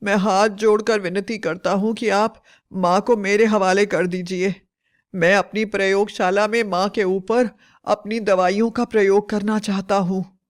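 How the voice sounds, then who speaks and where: A woman's voice: mid-range voice, steady tone, measured pace, natural voice. A woman voicing a devoted, anxious young scientist son in a storybook tale, speaking in an earnest, pleading way.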